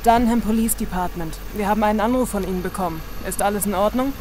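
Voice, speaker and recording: An actress playing a composed, dry police officer in a horror radio drama, probing and matter-of-fact. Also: female voice, medium pitch, fast, noisy background, close to an everyday voice.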